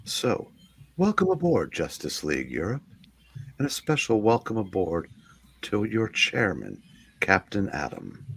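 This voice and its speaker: A man's voice: lowish voice, huge pitch swings, a little slow, creaky, a bit bright, breathy edge, exaggerated voice. A man playing a formal executive giving a pompous welcome speech in an amateur comic-book reading. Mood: grandly encouraging. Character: smooth, formal executive sending a welcome message.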